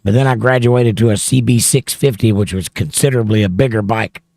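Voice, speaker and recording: Low-ish guy host reading out chat comments, rambly, right on the mic, dry sound. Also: fairly quick, warm tone, no room sound. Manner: reads viewer comments aloud, then rambles on them. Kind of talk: casual livestream chat. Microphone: close studio mic, held near the mouth.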